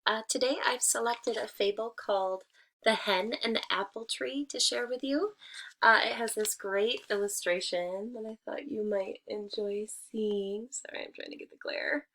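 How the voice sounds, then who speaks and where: A woman teaching and reading aloud to children, speaking deliberately and expressively and addressing them directly. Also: mid-range voice, fairly bright tone, a little hiss or hum, low-quality recording. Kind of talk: children's read-aloud, instructional storytelling.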